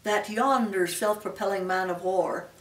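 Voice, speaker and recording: A woman's voice: medium pitch, unhurried, roomy sound, recorded from a distance, natural voice, rough recording. An elderly woman voicing a pompous, wordy male python with formal manners in a storybook reading. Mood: grave, pompous. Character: pompous, long-winded male python rock snake.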